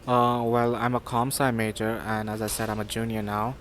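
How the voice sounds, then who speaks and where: A man in his twenties from Pakistan, a student whose first language is Sindhi, answering interview questions in a relaxed, hesitant way with many fillers. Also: lowish voice, normal speed, a little hiss or hum. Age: 20s.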